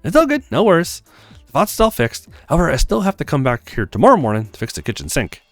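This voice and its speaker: A man's voice: fairly high pitch, huge pitch swings, fast talker, dry recording, soft background music, voice pushed up. A man voicing a friendly, frank young female handywoman in a visual novel, breezy and reassuring. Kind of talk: acted visual novel read-aloud.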